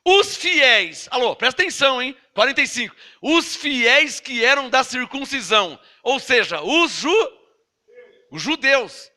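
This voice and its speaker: A man's voice: extremely high pitch, very animated, measured pace, pushed and bright. A Brazilian man with a Brazilian Portuguese accent preaching a sermon, emphatic and scolding, throwing rhetorical questions at his listeners. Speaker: pastor.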